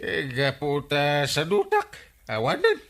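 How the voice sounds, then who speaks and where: An actor playing an excitable, easily flustered village captain in a radio comedy drama, stammering and exclaiming. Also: male voice, on the high side, slow, creaky, heavily acted.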